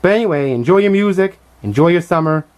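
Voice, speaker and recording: A middle-aged man giving a casual, unscripted show-and-tell commentary as a collector, thinking aloud in a halting, hesitant way. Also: fairly high pitch, expressive. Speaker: vlogger and music collector showing finds.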